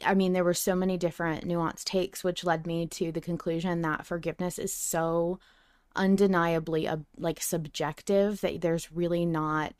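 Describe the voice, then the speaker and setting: Clear, fairly low-voiced woman podcaster. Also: little pitch movement, normal speed, a little mellow, no echo, studio quality. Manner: informal, peppered with "like". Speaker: podcast co-host. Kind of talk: casual podcast chat.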